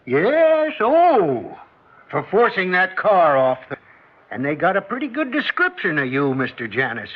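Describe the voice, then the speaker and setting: An actor playing a gruff, anxious old diner owner and grandfather in a 1950s radio drama. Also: high voice, huge pitch swings, measured pace, exaggerated voice. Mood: agitated gruff. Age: older. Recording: dull old radio recording.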